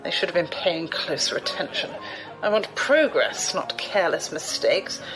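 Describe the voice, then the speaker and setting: A woman's voice: lowish voice, animated, moderate pace, over music, close to an everyday voice, rough recording. A woman acting an imperious, demanding aristocratic executive in an audio drama, curt and haughty. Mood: imperious, impatient.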